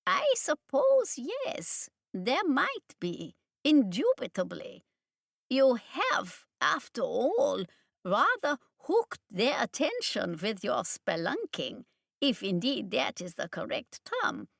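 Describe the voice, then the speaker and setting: Woman voicing nervy hysterical doctor guy, fussy formal talk, slightly gravelly. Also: sits high, wildly swooping pitch, takes it slowly, a bit bright, no echo, studio quality, pitched above the performer's own voice, heavily acted. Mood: nervous. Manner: fussy, formal, giggling, jittery. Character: nervous, hysterical older male scientist.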